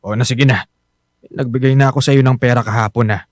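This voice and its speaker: A man's voice: medium pitch, fairly even intonation, fairly quick, no echo, studio quality, close to an everyday voice. An actor playing a poor, easily rattled husband and father who frets about money, plain-spoken and grumbling, in a radio drama. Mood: reproachful. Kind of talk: Filipino radio drama acting. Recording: music bed under the voice.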